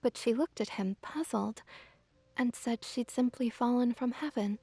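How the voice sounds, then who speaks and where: A woman voicing a gently dominant, teasing kitsune witch in an intimate ASMR roleplay, speaking softly and close, often near a whisper. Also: on the high side, no echo. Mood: gentle wistful storytelling. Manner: soft, breathy, slow cooing delivery, often near whisper. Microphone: close ASMR microphone.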